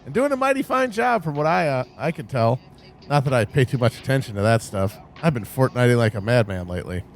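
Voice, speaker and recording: A man's voice: mid-range voice, lively intonation, a little fast, lightly acted. A man playing a placid, cheerful, oblivious cab driver with a folksy, easygoing manner in a radio-play parody. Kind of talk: parody of old-time radio drama. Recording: car noise behind the voice.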